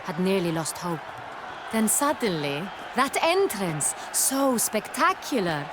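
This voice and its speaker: An actress playing an imperious, effusive young duchess, speaking in a regal, precise, theatrical manner. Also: female voice, mid-range voice, sing-song, slow pace, noisy background. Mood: delighted, commanding. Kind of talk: theatrical game dialogue. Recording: music and crowd behind the voice.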